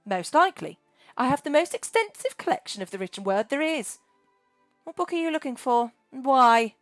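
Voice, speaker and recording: A woman voicing a grumpy ancient female dragon who hoards books, talking in a shrill, snappish way. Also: expressive, normal speed, dry recording, soft background music. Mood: huffy.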